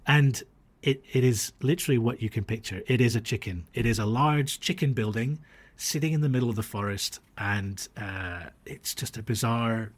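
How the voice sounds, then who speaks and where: A man's voice: medium pitch, normal speed. A man born in Australia who grew up internationally, telling anecdotes as a podcast guest in a reflective, hesitant, conversational way. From born in Australia, grew up across the US and Dubai.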